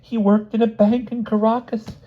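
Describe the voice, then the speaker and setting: A frail-hearted elderly woman from an audiobook novel, played by a man, pitched very high. She is tearful, frightened and pleading as she speaks. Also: level tone, normal speed, muffled recording. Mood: tearful confession.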